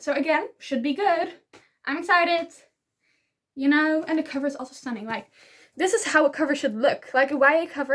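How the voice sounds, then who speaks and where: A woman's voice: high voice, a bit bright. A young woman whose first language is Dutch, casually chatting and gushing about books she loves in a rambling, giggly way. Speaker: book vlogger. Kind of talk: casual book review vlog.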